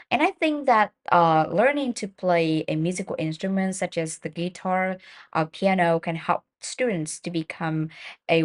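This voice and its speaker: A woman who works as an English speaking tutor, announcing each exam question and then reading model answers in a steady, explanatory way, with occasional fillers and small restarts. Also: fairly low pitch.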